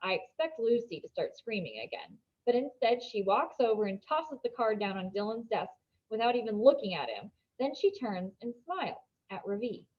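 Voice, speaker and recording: A woman reading a children's novel aloud as an expressive storybook narrator. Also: on the high side, moderate pace, highs cut off.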